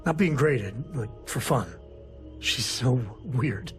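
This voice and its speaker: A man's voice: medium pitch, lively intonation, slow pace, faint music behind. A man playing an easygoing, folksy space explorer and single father in a video game. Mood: easygoing, reflective. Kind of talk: video game character dialogue.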